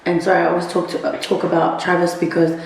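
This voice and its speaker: A woman's voice: on the low side, completely flat delivery, fast talker, creaky, recorded from a distance. A young woman chatting casually and laughing as she eats, filming a couple's vlog at home. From Australia. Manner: giggly, chatty, talks while eating. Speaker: vlogger. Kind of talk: casual chat while eating. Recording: echoey room, faint background hiss.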